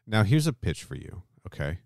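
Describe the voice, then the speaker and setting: A man's voice: fairly low pitch, sing-song, normal speed, creaky, a little mellow, no echo, studio quality. A man hosting a podcast in a casual, informal style with some swearing. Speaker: podcast host.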